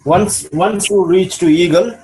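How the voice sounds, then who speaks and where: A man's voice: high-pitched, a little slow, a little mellow, a little hiss or hum, rough recording. A man coaching on a video call. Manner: patient, checks in with "okay?" after each point. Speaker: business mentor.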